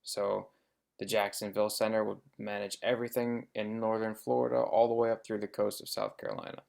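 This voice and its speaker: Low, gravelly man giving a presentation. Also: level tone, fairly quick, a little mellow. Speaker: air traffic controller giving a presentation. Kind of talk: informative slide presentation.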